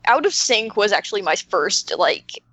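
A woman's voice: mid-range voice, moderate pace, dry recording. A woman on a podcast panel chatting very informally, often acting out her own reactions and peppering her talk with "like". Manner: informal, animated self-quoting, frequent "like". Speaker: podcast panelist, game creator. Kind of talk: casual chat.

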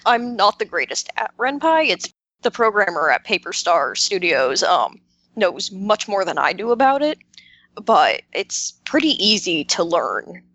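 Animated woman chatting casually. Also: medium pitch, measured pace, no echo. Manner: informal, self-interrupting explanations with frequent "like". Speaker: podcast roundtable panelist, visual novel maker. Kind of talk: casual chat.

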